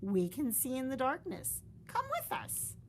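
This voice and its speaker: A woman voicing a loving, protective mother bat in a gentle, soft-spoken way. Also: high-pitched, huge pitch swings, a little slow, a little hiss or hum, voice pushed up, heavily acted. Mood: tender.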